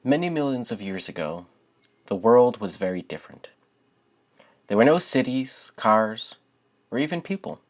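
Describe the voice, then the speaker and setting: A man's voice: mid-range voice, big pitch swings, moderate pace, long pauses. A young man who hosts a channel and reads his own writing aloud for viewers. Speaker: channel host and children's book author. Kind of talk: casual spoken introduction to viewers.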